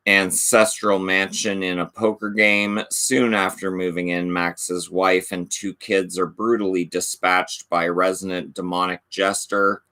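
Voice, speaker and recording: Low slow guy reading dvd covers, kinda losing his voice, laid back. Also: level tone, pushed and bright. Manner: slow, low-key delivery with offhand asides. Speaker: channel host.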